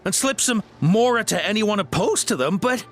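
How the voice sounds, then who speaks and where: A man's voice: high-pitched, lively intonation, moderate pace, soft background music. A man playing a nervous, cornered henchman in a video game, hesitant and stammering.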